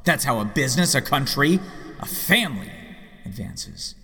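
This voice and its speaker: A man's voice: mid-range voice, sing-song, moderate pace, bright, forceful tone. An actor playing a rich, sardonic yet earnest man in his late thirties in an audio drama. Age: 30s. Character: rich, sardonic, earnest middle-aged male vigilante heir. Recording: long hall reverb, faint music behind.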